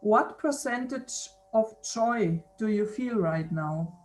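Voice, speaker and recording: A woman interviewer on a video call who speaks haltingly, repeating words and laughing often. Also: medium pitch, expressive, a little mellow, slight background noise. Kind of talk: casual conversation.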